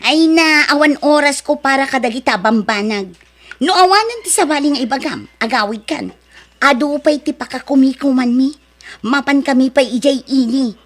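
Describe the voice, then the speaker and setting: A woman's voice: high voice, moderate pace. A middle-aged woman with an Ilocano accent acting a proud, scornful rich mother in an audio drama. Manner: haughty, scornful, dismissive.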